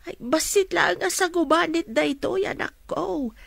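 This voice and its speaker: Older Ilocano mother, ailing and loving, woman performer, radio drama; forward bright tone, dry sound. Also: extremely high pitch, a little slow, vocal fry, no echo, heavily acted. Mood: weary, tender.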